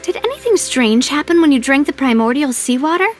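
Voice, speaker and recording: Young female boss, high voice, refined and sincere, measured game-cutscene delivery over music. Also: animated. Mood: composed, inquiring. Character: poised young lady leading a crime-fighting organization, earnest and polite. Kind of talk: video game cutscene voice acting.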